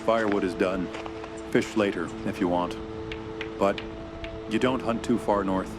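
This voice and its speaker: A man playing a quiet, reserved, superstitious camp cook in a radio drama, terse and foreboding. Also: lowish voice, fairly even intonation, measured pace, natural voice. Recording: music behind.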